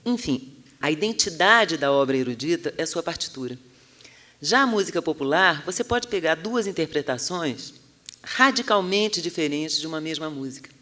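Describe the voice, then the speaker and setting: A man's voice: a little high, lively intonation, moderate pace, bright, forceful tone. A Brazilian man explaining analytically as an expert in an interview. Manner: analytical, didactic.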